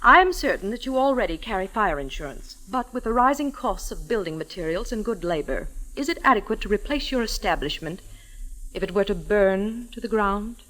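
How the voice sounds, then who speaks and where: A woman's voice: mid-range voice, lively intonation, a little fast, a bit bright, close to an everyday voice, low-quality recording. Pushy, buttoned-up businesswoman for an actress, mid-range voice, formal rehearsed delivery, old-time radio acting. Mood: brisk, insistent. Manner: formal, precise, rehearsed sales patter. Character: prim, pushy, businesslike female insurance saleswoman.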